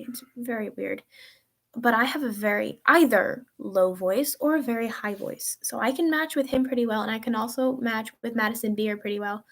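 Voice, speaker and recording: A teenage girl in a casual vlog, answering viewer questions in a chatty, rambling way with lots of ums and likes. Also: on the high side. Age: teens. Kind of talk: casual chat.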